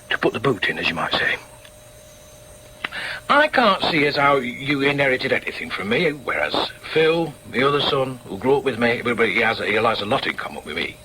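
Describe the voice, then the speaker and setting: Stubborn Northern socialist dad, bright-voiced. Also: male voice, mid-range voice, slight background noise, poor audio. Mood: muttered, scheming, then combative. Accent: Northern English. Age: middle-aged. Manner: emphatic, halting repetitions, muttered inner asides. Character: argumentative socialist shop steward father, proud and stubborn. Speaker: actor in a radio play. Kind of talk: naturalistic radio drama with inner asides.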